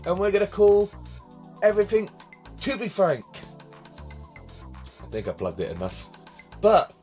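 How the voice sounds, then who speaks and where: Young man, slightly high voice, rambling vlog chat, phone mic in bedroom, faint music behind. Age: 20s. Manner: rambling, chatty, repeats himself. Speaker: vlog host. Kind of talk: casual talking-to-camera vlog. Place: his bedroom, indoors. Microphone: phone camera on tripod.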